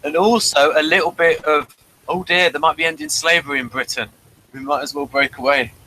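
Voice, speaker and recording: A man's voice: a little high, fairly even intonation, fairly quick, sharp tone, low-quality recording. A man hosting a casual review chat, rambling along with jokey asides. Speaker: host of an audio drama review show.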